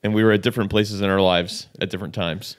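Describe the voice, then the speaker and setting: Measured Midwestern man, dry close voice. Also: lowish voice, fairly even intonation, smooth, no room sound. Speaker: podcast guest, author. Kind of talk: casual conversational interview.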